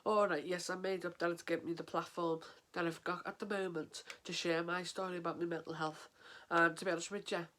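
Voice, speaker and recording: An English man vlogging about mental health, talking in an earnest, halting way with lots of fillers and restarts, sniffly and stuffed up from a head cold. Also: on the high side, level tone, bright, forceful tone, a little hiss or hum. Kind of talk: casual to-camera vlog.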